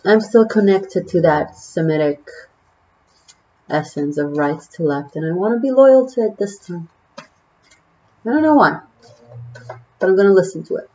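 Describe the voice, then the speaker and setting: A woman's voice: fairly low pitch, lively intonation, normal speed, long pauses, mellow, dull audio. A woman from Israel with an Israeli accent, a native Hebrew speaker, giving a casual tarot reading to the camera, musing and chatty with many fillers. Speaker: tarot reader.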